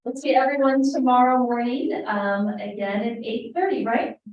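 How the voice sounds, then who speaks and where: High-voiced woman moderator, echoey and far. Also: dull audio, a little hiss or hum. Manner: brisk, casual wrap-up. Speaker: session moderator. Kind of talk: closing remarks. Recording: distant, echoey room with faint ambience.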